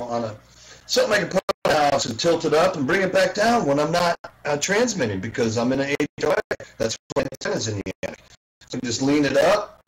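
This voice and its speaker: Man, mid pitch, informal rambling hobbyist in an online group chat. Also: rough recording. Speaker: CB radio hobbyist. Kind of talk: casual chat.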